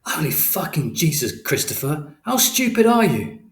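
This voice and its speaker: A man voicing a furious middle-aged father who shouts and swears, recorded with a roomy echo. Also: fairly high pitch, very animated, moderate pace, voice pushed up.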